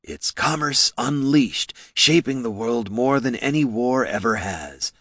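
A man voicing a smug, ruthless businessman in a fantasy audiobook, lecturing deliberately. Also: mid-range voice, expressive, a bit bright, dry recording, studio quality, voice pushed up. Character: smug, ruthless male company boss, coolly lecturing.

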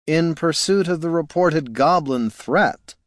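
A man voicing a pot-bellied mercenary warrior who speaks in a slow, deadpan, matter-of-fact way. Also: on the high side, dry recording, clean studio sound, voice pushed up. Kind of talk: audiobook character voice.

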